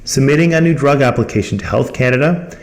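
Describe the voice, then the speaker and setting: A man's voice: mid-range voice, quick pace. A man reading a scripted company presentation in a formal manner as the host. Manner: formal, reading from prepared text.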